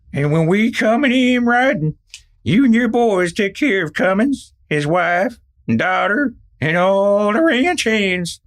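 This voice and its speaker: A man's voice: sits high, a bit bright, exaggerated voice. A man playing a ruthless outlaw leader giving bossy orders in a folksy cowboy drawl in a Western radio drama.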